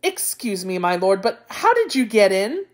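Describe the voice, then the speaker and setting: Sly jackal for a storybook, played by a woman: polite, dithering, playing confused, with very animated swooping pitch. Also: normal speed, close to an everyday voice. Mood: apologetic, confused. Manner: polite, dithering, feigning bewilderment. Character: sly jackal feigning befuddled, fretful confusion. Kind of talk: storybook character voice.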